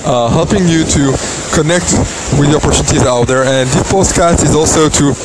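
A man's voice: a little high, a little fast. A man in his thirties from Belgium, hosting a casual, motivational podcast outdoors on a beach, with plenty of ums and uhs. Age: 30s. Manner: motivational, filler-heavy with many ums and uhs. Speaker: podcast host. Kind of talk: casual solo podcast monologue. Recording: loud outdoor wave noise. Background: loud waves.

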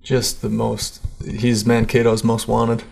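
Laid-back man with a gravelly voice. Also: medium pitch, completely flat delivery, slow pace, a little mellow. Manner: laid-back, rambling, swears casually. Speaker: podcast guest. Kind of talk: casual chat.

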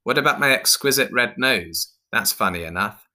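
A man's voice: medium pitch, expressive, normal speed, pushed and bright, pitched above the performer's own voice. A man voicing a defensive, argumentative female clown with indignant retorts in a storybook reading.

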